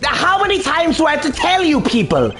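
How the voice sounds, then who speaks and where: A man's voice: very high voice, huge pitch swings, a little fast, a bit bright, faint music behind, pitched above the performer's own voice, exaggerated voice. A man voicing a jittery young male pet stylist suspect who stammers nervously and swaps his R's for W's. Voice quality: slight lisp replacing R's with W's. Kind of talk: fan dub of a video game.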